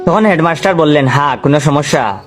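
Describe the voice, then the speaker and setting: A man's voice: fairly high pitch, expressive, fast, soft background music. A man narrating a scripted comic story as a storyteller.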